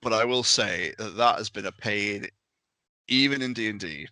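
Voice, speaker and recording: Bright-voiced man with a lowish pitch. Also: moderate pace, no room sound. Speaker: podcast panelist. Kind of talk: casual chat about tabletop games.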